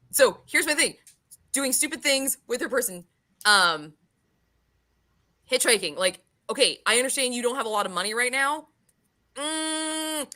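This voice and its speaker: Bright, exasperated woman chatting casually. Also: sits high. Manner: swearing, exasperated rhetorical questions. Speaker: book club podcast host. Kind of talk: casual opinionated book discussion.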